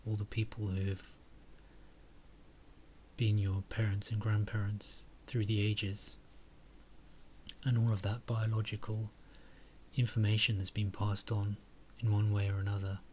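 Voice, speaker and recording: A man leading a guided meditation and reflection in a soft, calm way, with phrases trailing into breathy murmurs. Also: lowish voice, a little slow, long pauses, slight background noise.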